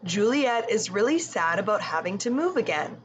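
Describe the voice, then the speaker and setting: A woman's voice: medium pitch, big pitch swings, moderate pace, background noise. A woman narrating a children's book as a scripted read-aloud. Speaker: storybook narrator.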